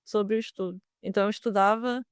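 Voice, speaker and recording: A young woman with a Brazilian Portuguese accent answers interview questions in a smooth, clear voice. She talks earnestly, with many fillers and restarts. The sound is dry and close. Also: mid-range voice, steady tone, fast talker, no room sound. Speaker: guest, former student now a merchant navy officer.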